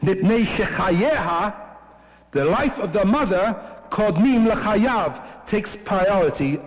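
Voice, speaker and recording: A rabbi preaching a sermon in a tall, fairly high voice, leaning hard on key words and rising sharply for emphasis. He sounds from a synagogue where his words ring out with a long, echoing tail. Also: expressive, slow. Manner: emphatic, sweeps up high for stress, quotes Hebrew texts. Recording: echoey hall, long reverb.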